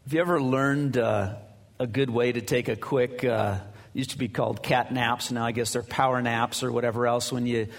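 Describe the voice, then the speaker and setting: A man leading a church service, moving from prayer into Bible teaching. He talks in a casual, halting way, full of "uh"s and restarts. His boomy mic rings out with a long reverb, as if in a can. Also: steady tone. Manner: halting, many fillers and restarts; conversational, anecdotal. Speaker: pastor.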